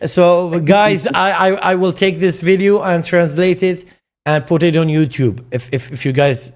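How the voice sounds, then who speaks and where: A man in his forties whose first language is Arabic, hosting a casual interview in English, often stammering and restarting his words. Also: fairly high pitch. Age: 40s. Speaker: streamer and host.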